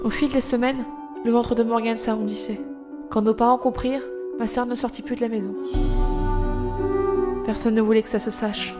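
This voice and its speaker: An actress playing a devoted young elder sister in an audio drama, telling of her past in a measured, earnest, literary way. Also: on the high side, fairly even intonation, over loud music, natural voice. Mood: wistful, sober. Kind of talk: audio drama acting and storytelling.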